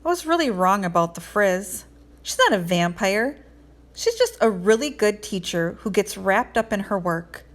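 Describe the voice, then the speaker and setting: A woman's voice: medium pitch, sing-song, normal speed, natural voice. A stubborn but earnest schoolboy from a children's storybook as a woman reader plays him. He talks plainly and a little grudgingly. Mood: sheepish.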